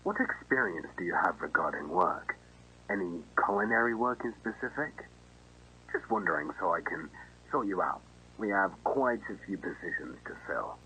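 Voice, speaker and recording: Bright, chatty restaurant manager, acted by a man. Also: deep voice, lively intonation, normal speed, breathy edge, heavily acted, poor audio. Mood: friendly, businesslike. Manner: friendly, casual, reassuring. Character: chatty, easygoing restaurant manager interviewing a job applicant. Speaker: voice actor in an analog horror video. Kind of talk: acted phone conversation. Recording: faint noise and hum.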